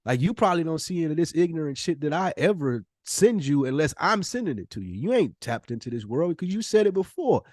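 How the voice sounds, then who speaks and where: Higher-voiced man debating on a podcast. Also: very animated, fast talker, few pauses, a little mellow, dry recording, studio quality. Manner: emphatic, argumentative, slangy, swearing. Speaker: podcast co-host. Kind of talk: casual debate, opinionated podcast talk.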